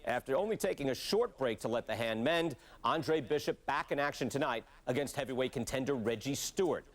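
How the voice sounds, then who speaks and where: A man's voice: mid-range voice, animated, fairly quick. A man presenting a scripted sports broadcast segment.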